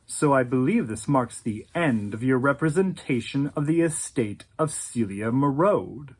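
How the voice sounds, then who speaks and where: Man playing a calm male artist, slow, nonchalant, slightly lower, breathy. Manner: slow, nonchalant, quietly dismissive.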